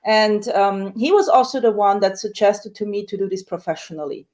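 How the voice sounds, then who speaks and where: A woman, a soprano singer, telling her story in a casual interview with lively acted-out quotes. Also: normal speed. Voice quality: high dramatic coloratura soprano voice.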